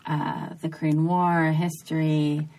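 A woman in her thirties from New York talks about her writing as a podcast guest. Her voice sits low. She speaks thoughtfully and often restarts her sentences. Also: little pitch movement, takes it slowly, a little mellow. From New York, USA. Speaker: author guest. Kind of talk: podcast interview.

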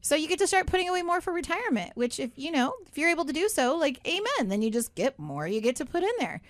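A woman's voice: high-pitched, very animated, quick pace, no echo. A woman hosting a radio show in a studio, talking in a chatty, upbeat way with many asides. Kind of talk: casual talk radio chat.

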